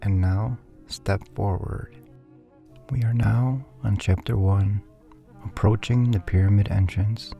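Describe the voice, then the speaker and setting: Low, gravelly man narrating sleep meditation. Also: steady tone, lo-fi sound. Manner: soft, breathy, soothing, measured delivery. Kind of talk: guided sleep meditation, scripted storytelling. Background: faint background music.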